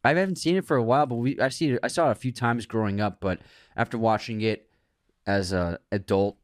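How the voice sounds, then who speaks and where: Podcast co-host, male, lower voice, eager rambling film talk, dry close mic. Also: a little fast, no room sound. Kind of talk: casual chat.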